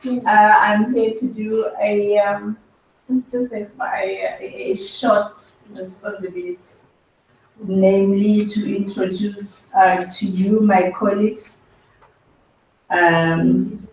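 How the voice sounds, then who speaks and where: Woman host, slow and hesitant intro, far from the mic in a reverberant room. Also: medium pitch, flat delivery. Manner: hesitant, with many fillers. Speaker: event host introducing the speaker. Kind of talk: introduction at a live event. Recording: echoey hall, mic far off.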